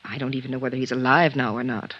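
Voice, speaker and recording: A woman's voice: a bass voice, fairly even intonation, fast. A woman playing an anxious, guarded, middle-aged wife and mother in a 1950s radio drama. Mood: hesitant, subdued. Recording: dull band-limited 1950s radio recording.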